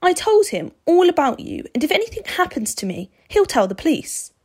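Storybook schoolboy, female reader: fearless, insistent, eager, pitched up with lively swings. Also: fairly quick. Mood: defiant. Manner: insistent, earnest, pleading.

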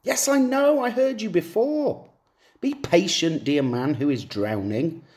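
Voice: medium pitch, expressive, unhurried, darkish tone, pitched above the performer's own voice, close to an everyday voice. A reader voicing a fussy, sickly man on the shore in a comic poem, with a prim delivery.

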